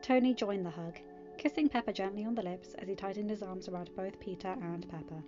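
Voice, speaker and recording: A woman's voice: medium pitch, a little fast, lo-fi sound. Woman narrating a story over music. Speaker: podfic narrator.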